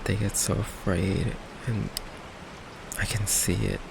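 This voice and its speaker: Male actor for gentle goth vampire boyfriend, ASMR roleplay. Heavy vocal fry, unhurried, hesitant soft delivery, rain ambience. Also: lowish voice, background noise, exaggerated voice. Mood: hesitant, vulnerable. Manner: hesitant, halting, soft-spoken, apologetic. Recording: steady rain ambience behind the voice.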